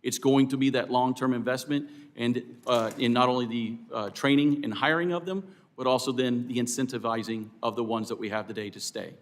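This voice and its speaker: An American man answering as an expert witness at a hearing, in a formal, deliberate and earnest way. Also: medium pitch, steady tone. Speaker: expert witness for air traffic controllers. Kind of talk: formal testimony.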